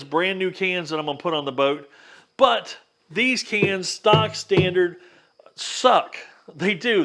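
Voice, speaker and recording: A man's voice: high voice, a bit bright. A plainspoken, folksy man hosting a casual hands-on DIY video, talking the viewer through each step. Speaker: vlog host giving a DIY demonstration. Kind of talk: casual hands-on how-to talk.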